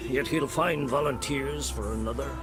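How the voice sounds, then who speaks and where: A man's voice: medium pitch, moderate pace, over loud music, natural voice. A man voicing a learned, grumpy old druid in a video game, wry and sententious in manner. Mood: wry, disapproving.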